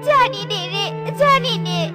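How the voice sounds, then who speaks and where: A woman's voice: extremely high pitch, animated, a little slow. A woman playing an emotional, steadfast village widow and mother, speaking emphatically in a children's cartoon. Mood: grieving, desperate. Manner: dramatic, emphatic. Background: children's music.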